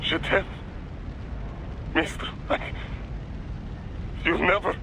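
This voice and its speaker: A man's voice: sits high, fairly even intonation, takes it slowly, gravelly, very noisy, full character voice. A man playing a fanatical, anguished militant commander with an Eastern European accent, impassioned and theatrical, in a video game. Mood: alarmed, anguished. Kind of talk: dramatic video game acting. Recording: voice under loud music.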